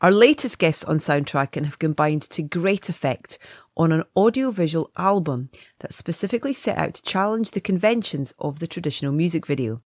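Woman, podcast host, slightly gravelly voice, low pitch, effusive chatty delivery, dry sound. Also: lively intonation, fairly quick, no echo. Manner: chatty, effusive, with frequent ums and restarts. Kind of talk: casual podcast chat and interview.

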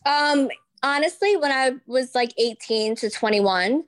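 A woman's voice: high-pitched, measured pace. A woman co-hosting a casual podcast chat, blunt, teasing and crude. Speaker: podcast co-host.

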